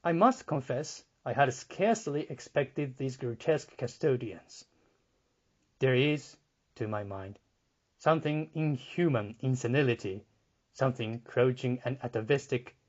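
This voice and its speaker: A male audiobook reader narrates literary fiction with dramatic care. His delivery is very slow and measured, at a medium pitch. The recording is a little muffled. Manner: measured, deliberate.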